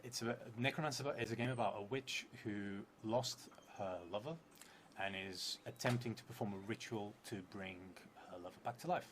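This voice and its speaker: A man in his thirties thinking aloud in a casual, hesitant way as he explains a solo tabletop game on a live stream. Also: on the low side. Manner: hesitant, halting. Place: indoor theatre room, seated at a table. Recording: clearly noisy live stream room.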